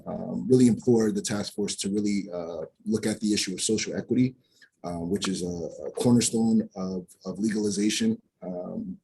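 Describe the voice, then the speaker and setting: Male advocate, public testimony: earnest, halting delivery, lower-pitched and warm. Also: slight background noise. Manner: earnest, many filler words and restarts. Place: public hearing.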